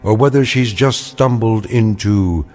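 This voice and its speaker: An actor playing a grave, knowing narrator of an eerie radio anthology, speaking in measured, ominous dramatic narration. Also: male voice, on the low side, a little mellow, soft background music, lightly acted.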